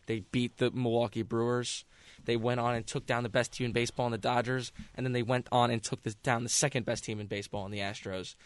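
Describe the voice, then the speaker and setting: A man's voice: medium pitch, no echo. Emphatic young man arguing sports. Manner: emphatic, quick to argue. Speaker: co-host, Mets fan. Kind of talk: casual sports talk.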